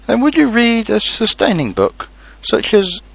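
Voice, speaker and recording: A man's voice: sits high, huge pitch swings, unhurried, vocal fry, a bit bright, pitched above the performer's own voice, exaggerated voice. A man voicing a greedy, anxious, muddled toy bear from a storybook, plaintive and earnest. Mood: anxious, gloomy. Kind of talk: storybook character reading.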